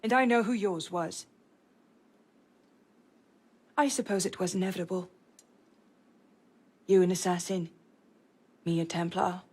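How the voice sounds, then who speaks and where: A woman's voice: fairly low pitch, fairly even intonation, moderate pace, darkish tone, breathy edge. A young woman playing a bitter, grieving young noblewoman in a dramatic game cutscene, guarded and coldly accusing.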